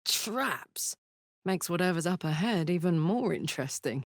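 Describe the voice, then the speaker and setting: A brash, big-hearted warrior, dry studio sound. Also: female voice, on the low side, animated, unhurried, no room sound. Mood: wry, casual. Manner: blunt, sweary, informal. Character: brash, big-hearted, battle-hardened adult woman warrior, earthy and impulsive. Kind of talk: video game character voice acting. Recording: dry close studio recording.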